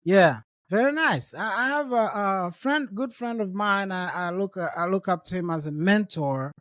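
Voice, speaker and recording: A man's voice: high voice, lively intonation, normal speed, fairly bright tone, no echo, studio quality. A man from Rwanda hosting a podcast, talking in a friendly, casual way and often repeating words as he thinks. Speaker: podcast host. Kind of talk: conversational interview.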